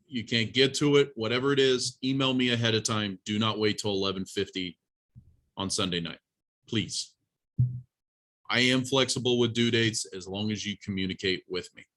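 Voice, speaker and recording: Bright-voiced male college programming instructor. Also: medium pitch, fairly even intonation, moderate pace. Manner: plain-spoken, instructive, addresses students directly. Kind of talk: informal classroom lesson with live coding demonstration.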